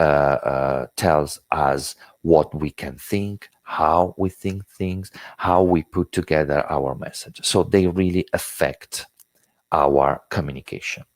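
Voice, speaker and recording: A man's voice: very deep voice, no room sound. A man with an Italian accent giving an online lecture as a webinar presenter, talking with many fillers and restarts. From Italy.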